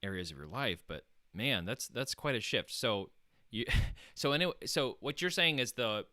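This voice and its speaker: A man's voice: mid-range voice, expressive, moderate pace, bright, forceful tone, no room sound, studio quality. A man hosting an interview, reacting with enthusiasm and thinking aloud with frequent fillers. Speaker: interview host. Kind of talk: conversational interview.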